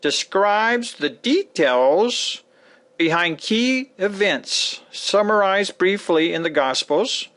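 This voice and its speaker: Man, scripted book introduction, fairly high voice, very lively intonation, emphatic deliberate phrasing. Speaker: author-narrator introducing his book. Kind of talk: scripted introduction to a dramatic reading.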